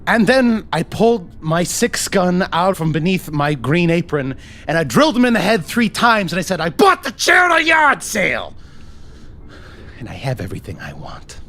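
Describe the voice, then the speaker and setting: A man's voice: high-pitched, huge pitch swings, moderate pace, slight background noise, heavily acted. Man playing a wildly swinging, jittery trigger-happy shadowrunner. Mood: manic, boastful. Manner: rambling, profane, swinging from hushed menace to outbursts. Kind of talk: improvised tabletop roleplay.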